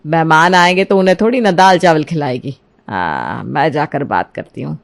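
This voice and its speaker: A woman acts out a kindly middle-aged mother-in-law for a cartoon moral tale. She talks gently and coaxingly, in a lowered motherly register, with some quiet cunning. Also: expressive, normal speed, vocal fry. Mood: coaxing, plotting. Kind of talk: Hindi cartoon moral story dialogue.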